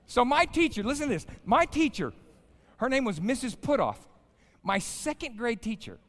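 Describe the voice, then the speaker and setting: American preacher in his fifties, animated delivery. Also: extremely high pitch, normal speed. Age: 50s. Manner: folksy, colloquial, addresses the audience with questions. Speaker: pastor, guest chapel speaker. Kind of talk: informal chapel sermon with storytelling. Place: large indoor chapel, speaking to an audience. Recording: live hall with long reverb.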